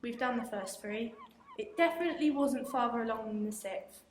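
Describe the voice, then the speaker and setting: Police inspector, calm and official, played by a young actress; roomy school recording. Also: female voice, on the high side, close to an everyday voice. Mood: polite official. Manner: polite, measured and official. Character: calm, dry-witted police inspector woman. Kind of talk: amateur radio play acting. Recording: roomy room echo, faint hiss.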